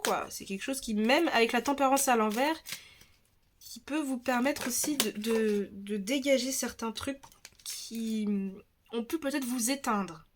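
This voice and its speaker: A woman with a French accent giving a relaxed tarot reading, talking in a chatty, hesitant way with many restarts and a slightly stuffy nose. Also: on the high side, slight background noise. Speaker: tarot reader. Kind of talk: casual tarot reading video.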